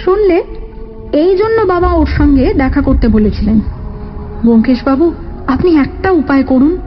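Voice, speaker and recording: A woman's voice: high voice, expressive, moderate pace, lo-fi sound, soft background music. A woman acting an anxious, earnest married daughter pleading for help in an audio drama. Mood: eager, pleading. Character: anxious married daughter seeking her late father's will.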